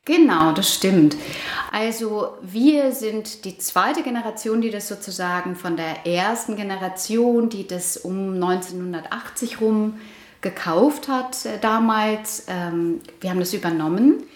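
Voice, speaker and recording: An Iranian-born woman in her forties speaks at a middling pitch, measured and reflective, often pausing and restarting her sentences. The recording has a roomy echo around her voice. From Iran. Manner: thoughtful, many fillers and restarts. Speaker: interviewee, housing project resident. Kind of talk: casual interview answers.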